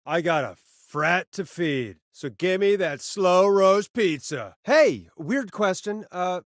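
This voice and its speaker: A man's voice: high voice, expressive, slow, no room sound, clean studio sound, full character voice. A man voicing a harried late-night radio host in a video game, wry but caring, with a sardonic yet reassuring conversational delivery. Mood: exasperated, strained.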